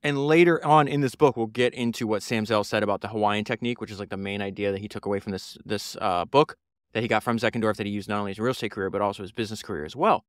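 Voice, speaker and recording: A man's voice: lowish voice, fairly even intonation, rapid-fire, smooth, dry recording, studio quality. A man, hosting a solo podcast and talking through a book in an earnest, rambling way with many fillers and restarts. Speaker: podcast host.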